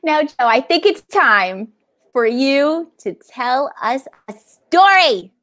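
A woman's voice: high voice, sing-song, slow, a bit bright. A woman in her thirties hosting a library event on a video call, chatting casually with a young audience. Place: indoors at a video call setup.

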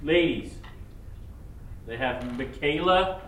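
A man helping at a school trivia night, briskly checking and reading out answers. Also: on the high side, fairly bright tone, slight background noise. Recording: echoey room from far off, crowd behind.

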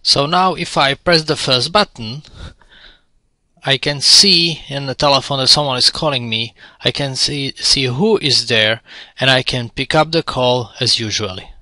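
A man's voice: medium pitch, measured pace, bright, forceful tone, no room sound. A man narrating an instructional product demo in a friendly, step-by-step explanatory way. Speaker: tutorial presenter.

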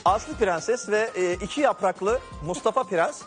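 High-voiced, animated male storyteller. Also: measured pace. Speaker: narrator and director of an improvised comedy fairy tale. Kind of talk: improvised storytelling to performers. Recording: music playing behind the voice.

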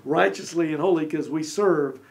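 A fairly high-voiced man in his forties, with a gravelly edge to his voice, talks about scripture from a small studio set. He gestures as he speaks and now and then acts out short quotes in little voices. His delivery is lively and conversational. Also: normal speed. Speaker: co-host. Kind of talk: animated Bible discussion.

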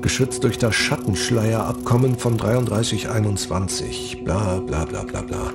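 Adventurous male starship captain, confident, casual, animated intonation, sci-fi radio play, music behind. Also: fairly low pitch, measured pace, creaky, heavily acted. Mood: curious.

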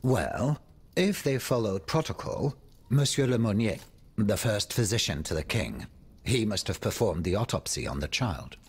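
Urbane French aristocrat, husky voice, animated swooping pitch, courtly, wry, world-weary, video game drama. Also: male voice, normal speed. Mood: grave, helpful. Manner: theatrical, courtly, swinging between exclamation and confiding murmur.

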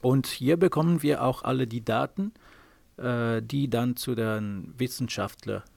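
A middle-aged Italian man explains his work in halting German, stopping often with filler sounds between phrases. His voice is gravelly and mid-pitched, with a strong Italian accent. The sound is close and dry, with almost no room in it. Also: darkish tone, no room sound. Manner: hesitant, many filler sounds. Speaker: space mission software engineer. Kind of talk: interview answers in non-native German. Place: office at a space operations centre.

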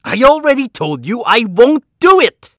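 A defiant young heir in a visual novel game. He is curt and rebellious, his pitch moving widely, and the sound is close and dry. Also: big pitch swings, moderate pace, a bit bright, no room sound, full character voice.

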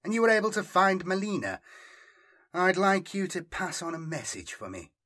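A man's voice: sits high, big pitch swings, moderate pace, fairly bright tone, pitched above the performer's own voice. A man voicing a smug, self-assured male fugitive in an audiobook, superior and teasing in manner. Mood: confident, reassuring. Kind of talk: audiobook character dialogue.

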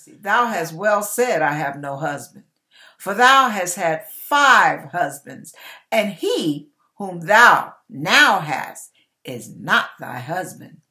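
An older woman reads scripture aloud in a dramatic, preacherly style. Her voice sits a little low and swings widely in pitch. She goes very slowly and deliberately, stretching key words for emphasis. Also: sharp tone. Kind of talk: dramatic Bible reading. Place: small indoor room with curtain behind.

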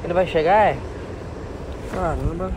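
A young man with a high, light voice chats up strangers in casual slang, pushing his prank along. The sound comes from a distant hidden camera, with clear noise behind him in public spaces. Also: measured pace.

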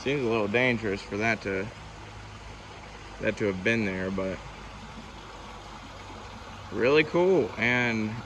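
A man's voice: on the low side, slow pace, long pauses, background noise. A young man with a West Virginian accent, narrating a casual hiking vlog outdoors on a wooded trail, easygoing and chatty. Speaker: vlogger.

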